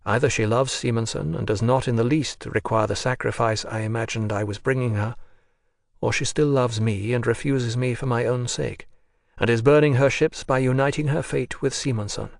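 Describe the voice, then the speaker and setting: A man reading the part of a remorseful, earnest nobleman in an audiobook, in a measured, sincere voice. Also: medium pitch, fairly even intonation, gravelly, no room sound, studio quality, lightly acted.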